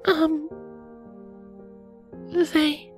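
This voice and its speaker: Woman putting on a high, timid little filly. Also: flat delivery, extremely slow, faint music behind. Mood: timid, unsure. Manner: shy, hesitant, small-voiced.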